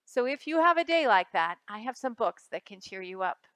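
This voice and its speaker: A woman's voice: on the high side, very animated, moderate pace, fairly bright tone, clean tone, dry recording. A woman reading picture books aloud for a children's storytime, speaking expressively and directly to young listeners.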